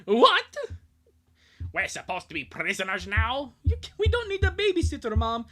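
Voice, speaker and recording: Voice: medium pitch, sing-song, moderate pace, sharp tone, soft background music, voice pushed up. A streamer voicing an upset, defiant teenage girl who protests to parents in a cartoon fan-fiction reading. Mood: indignant, protesting. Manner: indignant, protesting.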